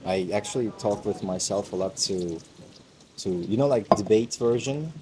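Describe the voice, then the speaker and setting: A man's voice: fairly low pitch, moderate pace. A young man from Turkey, a native Turkish speaker, musing in a casual outdoor conversation, rambling with many fillers and restarts. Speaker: host. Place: outdoors in a busy mountain village. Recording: crowd noise behind.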